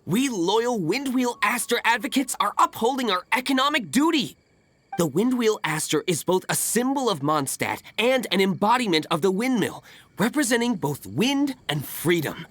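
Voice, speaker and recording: A woman's voice: mid-range voice, sing-song, measured pace, a bit bright. A woman voicing an opinionated, pedantic fruit stall vendor in a video game, emphatic and pompous. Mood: proudly rallying. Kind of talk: video game character acting.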